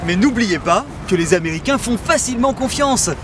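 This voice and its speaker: A man's voice: high voice, animated, fairly quick, noisy background. A man hosting a parody language lesson in a mock-earnest, cheeky manner. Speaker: comedic language teacher host.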